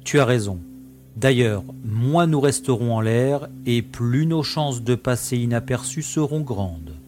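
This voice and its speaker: A man acts out a starship pilot and smuggler in an amateur sci-fi audio drama. He is brisk, wry and used to giving orders, with a mid-pitched voice over faint music. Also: animated, darkish tone, lightly acted. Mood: decisive, businesslike. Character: gruff, decisive male starship pilot and smuggler.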